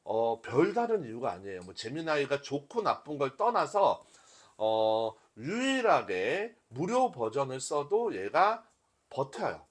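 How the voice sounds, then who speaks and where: A man's voice: medium pitch, very animated, a little slow. A man instructing a workshop in an informal live lecture style, talking conversationally to his listeners and explaining things step by step. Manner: conversational, addresses listeners and asks rhetorical questions.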